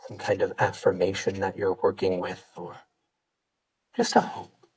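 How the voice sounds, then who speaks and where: Low, husky-voiced man guiding meditation. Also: little pitch movement, far from the mic. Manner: gentle, unhurried instruction with pauses. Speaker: yoga teacher. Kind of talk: guided meditation.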